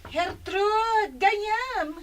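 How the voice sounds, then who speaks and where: A woman's voice: extremely high pitch, drawn-out words, exaggerated voice. A woman playing an affectionate, persuasive godmother in an audio drama, doting and gushing. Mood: delighted.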